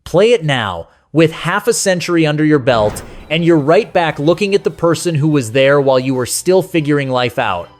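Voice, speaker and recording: A man with a slightly high voice hosts a nostalgic countdown. His scripted narration is conversational and sentimental, addressed straight to the listener. Music plays faintly behind him. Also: lively intonation, moderate pace, hardly any pauses.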